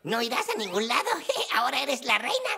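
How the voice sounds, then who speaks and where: A woman voicing a clever scholarly teacher creature in an animated cartoon dub, explaining things in an instructive way. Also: a little high, brisk, vocal fry, heavy background noise. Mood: encouraging.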